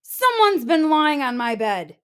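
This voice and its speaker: A woman voicing a gruff father bear who roars and growls. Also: mid-range voice, very animated, slow pace, exaggerated voice. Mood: indignant. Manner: roaring, growling.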